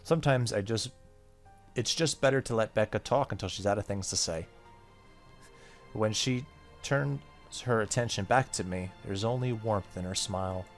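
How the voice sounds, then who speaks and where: A man with a low voice narrates a visual novel as a gaming YouTuber. He moves between reading the text and casual asides, with faint music underneath. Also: normal speed. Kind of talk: let's play, reading game text aloud with casual comments. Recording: faint game music behind voice.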